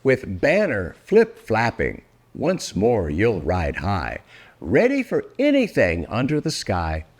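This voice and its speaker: An older man reading a rhyming children's book aloud in a theatrical, deliberate way, drawing out words for effect. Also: mid-range voice. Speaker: storybook reader.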